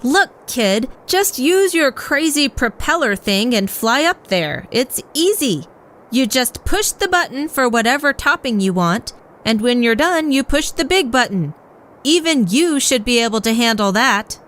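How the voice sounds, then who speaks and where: Actress as snide troll sidekick, impatient, condescending, animated pitch swings, cartoon audio drama. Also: female voice, unhurried, exaggerated voice. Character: condescending, dry pizza troll companion.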